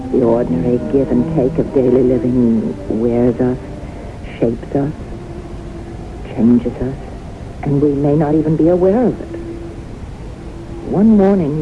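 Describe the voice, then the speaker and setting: An actress playing a reserved, haunted middle-aged secretary recounting her life in measured, grave radio drama style. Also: rumbling low. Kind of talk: 1970s radio drama acting, narration and dialogue. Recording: noisy muffled old radio broadcast recording.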